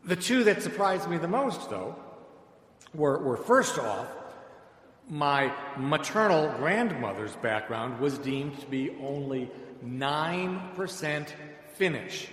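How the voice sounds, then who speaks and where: A man's voice: a little high, big pitch swings, takes it slowly, fairly bright tone. A middle-aged American man preaching in a conversational, dryly humorous way, telling personal anecdotes. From suburbs of Chicago, United States. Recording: echoey hall, long reverb, background noise.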